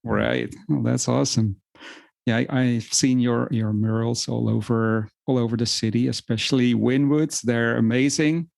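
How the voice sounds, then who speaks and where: A man's voice: mid-range voice, steady tone, normal speed, creaky, a little mellow. A man hosting a podcast, giving an upbeat introduction and then asking questions, often starting sentences with "well" and calling things amazing. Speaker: podcast host.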